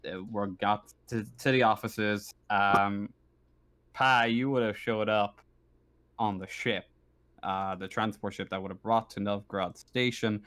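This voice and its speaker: A man working as game master, improvising narration of a tabletop roleplaying game in a halting way, often stammering and repeating words. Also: fairly low pitch, steady tone, a bit bright.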